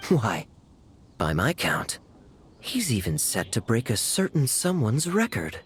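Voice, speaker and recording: A woman voicing a suave, teasing young knight with sly humor in a video game, in a smooth, theatrical manner. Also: extremely low pitch, sing-song, measured pace, a little hiss or hum, full character voice. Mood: amused, teasing.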